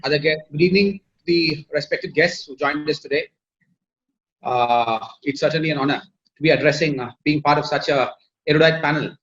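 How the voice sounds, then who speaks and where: A man with an Indian English accent, a Tamil native speaker, gives a measured, formal panel talk over a video call, pausing often on fillers. His voice sits high for a man. The sound is muffled and lo-fi. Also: fairly bright tone. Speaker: panelist, lawyer.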